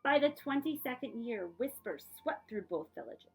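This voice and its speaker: A woman's voice: high voice, moderate pace, gravelly, breathy edge, soft background music. Woman reading a storybook aloud. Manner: expressive storytelling, putting questions to young listeners. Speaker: librarian reading a picture book aloud. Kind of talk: children's read-aloud with asides to listeners.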